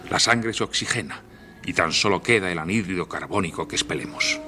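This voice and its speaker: Guy as a sober scientist man, lowish voice, lecturing in a radio play, music clearly behind. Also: brisk, close to an everyday voice. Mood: measured, explanatory. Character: learned, sober male surgeon and scientist. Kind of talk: radio drama acting.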